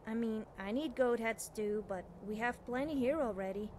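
A woman's voice: a little high, moderate pace, darkish tone, a little hiss or hum, close to an everyday voice. A young woman playing an eager, nervous, cheerfully amoral witch student in video game dialogue, chatty and girlish, often hesitating and backtracking. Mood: squeamish.

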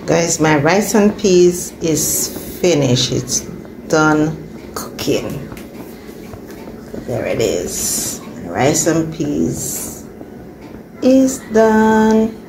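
Slow, low-voiced woman cooking. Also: big pitch swings, noisy background. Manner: talks to viewers while cooking, repeats phrases. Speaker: cooking channel host. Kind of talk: casual cooking narration. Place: home kitchen. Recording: echoey kitchen with frying sizzle.

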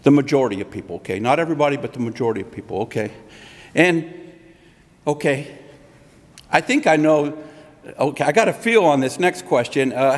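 A middle-aged man speaking at a podium in a hotel conference room, giving an interactive, conversational talk as a consultant. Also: a little high. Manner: conversational, restarts, laughs at own jokes. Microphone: podium mic. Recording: long hall reverb.

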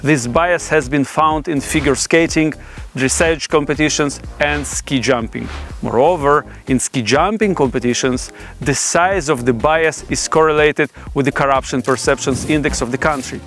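Male presenter, 40s, voice a bit on the high side, formal academic scripted delivery, light music underneath. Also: moderate pace, a bit bright. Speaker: presenter explaining research. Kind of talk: scripted explainer narration. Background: background music.

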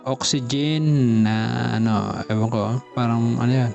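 A man's voice: mid-range voice, moderate pace, gravelly. A man with a Filipino accent giving a casual, joking step-by-step tutorial as host. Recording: muffled lo-fi with background music. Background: music and screen-reader speech.

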